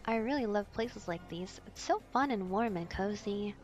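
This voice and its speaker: A woman voicing an excitable, carefree young princess in a visual novel, gushing and eager. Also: medium pitch, moderate pace, soft background music, close to an everyday voice.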